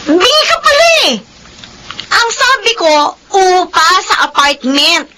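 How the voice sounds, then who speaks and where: Fiery young mom in pinoy radio drama, super high voice, noisy behind. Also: female voice, sing-song, a little slow, heavily acted. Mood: furious. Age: young adult. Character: hot-tempered, combative young mother and elder sister. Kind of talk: Filipino radio drama acting.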